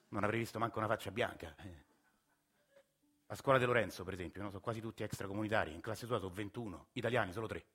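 A man's voice: low voice, a bit bright, husky. An actor playing a weary, earnest working-class Roman family man, confiding his grievances in a colloquial theatrical monologue. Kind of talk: theatrical first-person monologue.

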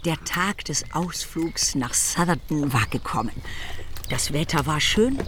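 A woman's voice: deep voice, lively intonation, unhurried, heavy background noise. A woman narrating a literary radio drama from a script.

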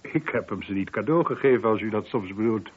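A man's voice: fairly low pitch, fairly quick, slightly rough. An actor playing a haughty, cool middle-aged businessman in a radio play, formal, sarcastic and condescending. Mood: coldly irritated. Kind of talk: radio drama acting. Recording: dull, lo-fi old radio recording.

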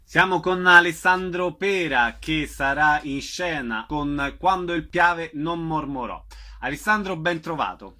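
A man's voice: on the high side, expressive, unhurried, sharp tone, no echo, clean studio sound. A man hosting a radio interview programme, putting questions to guests and announcing dates.

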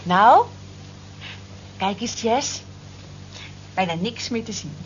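Earnest, slow-spoken cleaning woman. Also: a little high, expressive. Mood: pleased. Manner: earnest, polite, deliberate. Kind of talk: radio play acting. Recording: dull, noisy old radio play recording.

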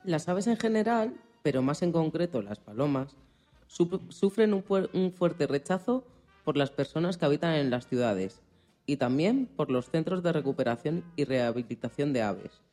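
A man co-host with a mellow, slightly high voice. He reads a radio script, now and then tripping on a word, with faint music behind. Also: animated, moderate pace.

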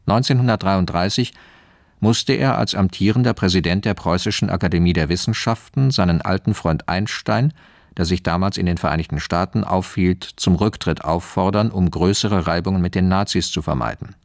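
An actor playing a formal official voice, reading a dossier in dry, factual narration for a radio drama. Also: male voice, low-pitched, moderate pace, no echo.

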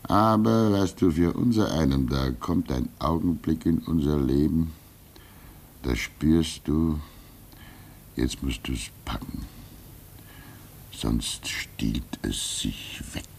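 A man in his sixties playing a weary, pious old keeper at a polar station in a radio play, speaking wistfully with soft laughs. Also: a bass voice, animated, slow, vocal fry, pauses often, a little hiss or hum, exaggerated voice. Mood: reflective. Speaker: actor.

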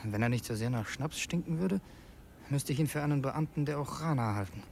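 An actor playing an earnest, brooding revolutionary who narrates in an intense, halting way in a radio play. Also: male voice, medium pitch, expressive, gravelly, a little mellow, a little hiss or hum. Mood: guarded, impatient. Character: earnest, brooding male revolutionary narrating his secret errand. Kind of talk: radio play, first-person dramatic narration.

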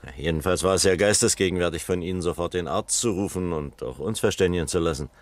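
An actor playing a composed, probing police captain, courteous and measured, in a German radio crime drama. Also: male voice, deep voice, no echo, lightly acted. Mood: courteous, questioning.

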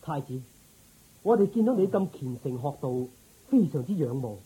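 A man's voice: fairly high pitch, sing-song, moderate pace, dark tone, husky, heavily acted, poor audio. A man playing a loyal, reverent royal minister in a Cantonese radio drama, formal and deferential in his speech. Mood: eager, reverent.

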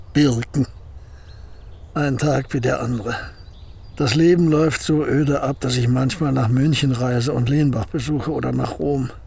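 A bitter, gloomy old painter. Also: male voice, mid-range voice, gravelly, darkish tone, husky. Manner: curt, dry and scornful. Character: gloomy aging male painter, bitter and sardonic. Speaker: actor in a radio drama. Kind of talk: radio drama acting.

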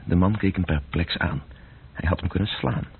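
Radio drama narrator, deep, husky, sober, measured, terse, thin old band-limited recording. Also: male voice, narrow, tinny audio, full character voice. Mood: sober, observant. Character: sober male storyteller, detached observer of city evening. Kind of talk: scripted radio play narration.

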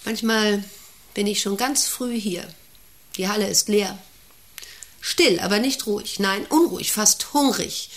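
Actress doing bossy oddball factory boss lady, gravelly creak, rambly and nosy, radio play. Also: medium pitch, unhurried, lightly acted. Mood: brooding, rapt. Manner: rambling, commanding, intrusive questioning. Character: domineering, eccentric female factory director, needling an employee. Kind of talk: German radio play acting.

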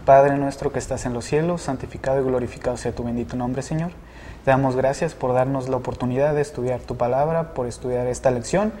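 A man's voice: mid-range voice, steady tone, fast, mellow, long reverb tail, slight background noise. A young man in his twenties with a Mexican accent, a university student guest who prays and discusses with frequent hesitations. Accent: Mexican Spanish. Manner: hesitant, many fillers. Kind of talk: casual discussion and prayer.

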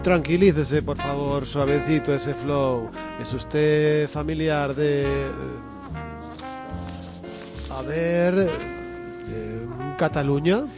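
A man's voice: on the high side, slow pace, music in the background. A man playing a blunt, evasive hospital doctor in a comic radio soap, hesitant and then crudely offhand. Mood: calming.

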